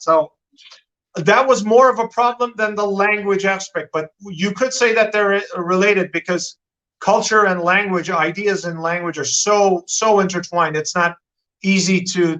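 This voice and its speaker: Interview guest, male, American accent, bright high voice, rambling and self-correcting. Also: poor audio. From Turkey. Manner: talkative, frequent restarts and repeated words. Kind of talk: live-stream interview.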